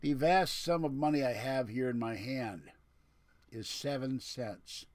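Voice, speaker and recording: A man with a lisp talks in a mid-pitched voice, close and dry with no room sound. He rambles casually to livestream viewers, drifting between points in a grumbling way. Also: unhurried, no room sound. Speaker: livestream host.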